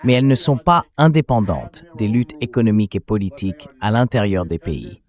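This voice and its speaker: Synthetic male commentator, lowish pitch, measured explanatory delivery for a dubbed interview. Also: animated, darkish tone. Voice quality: synthetic text-to-speech voice. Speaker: political commentator, interview guest. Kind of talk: translated interview commentary, read by AI voice.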